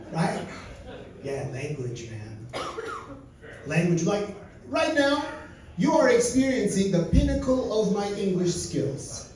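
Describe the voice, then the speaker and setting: A man from Turkey doing stand-up with a fairly high voice. His delivery is dry and wry. He sounds far from the mic in a very echoey lounge. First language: Turkish. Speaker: stand-up comedian. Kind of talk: stand-up set. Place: comedy lounge stage.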